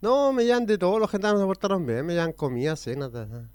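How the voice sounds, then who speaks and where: Chilean guy, rambling storyteller, smooth dry close voice. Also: high-pitched, quick pace, a little mellow, no room sound. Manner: rambling, jumps between topics with repeats. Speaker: interviewee on hospital radio.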